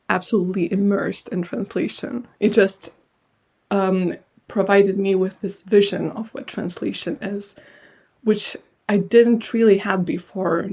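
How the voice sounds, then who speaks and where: Woman from lithuania, low-key dark voice, lots of ums, talks it through slowly. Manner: thoughtful, hesitant with many fillers. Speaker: translator and writer, guest. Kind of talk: casual interview answers.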